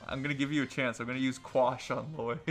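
A man's voice: medium pitch, little pitch movement, brisk, a bit bright. A young man bantering playfully and jokily during a casual group gameplay session. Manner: jokey, playful. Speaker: online gaming video player. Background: video game music.

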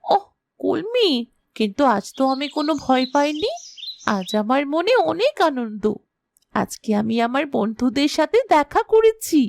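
Woman voicing an animated, high-voiced innocent girl. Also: a little slow, gravelly, no room sound, heavily acted. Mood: joyful. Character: cheerful, innocent young girl, affectionate friend. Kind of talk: Bengali fairy-tale cartoon dubbing.

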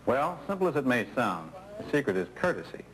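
An actor playing a seasoned, folksy American coworker giving persuasive advice in a 1970s training film. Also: male voice, mid-range voice, sing-song, fairly quick, fairly bright tone, low-quality recording. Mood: easygoing, persuasive. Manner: colloquial, persuasive, rhetorical questions. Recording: 1970s film soundtrack, faint noise.

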